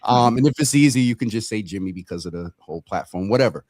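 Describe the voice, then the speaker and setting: A man hosting a live community talk show in a conversational, halting way, often repeating words and circling around his point. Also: lowish voice, expressive, a little mellow.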